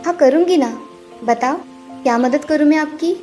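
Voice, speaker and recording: A woman voicing a meek, kind young girl in a fairy tale, gentle, earnest and polite. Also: sits high, normal speed, faint music behind, close to an everyday voice. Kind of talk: acted children's story dialogue.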